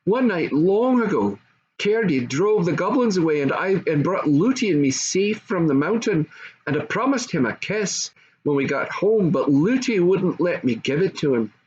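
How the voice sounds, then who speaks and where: A man's voice: high-pitched, big pitch swings, moderate pace, darkish tone. An older man voicing an eager, affectionate little fairy-tale princess in an earnest, exclaiming, childlike way. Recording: dull home recording with faint noise.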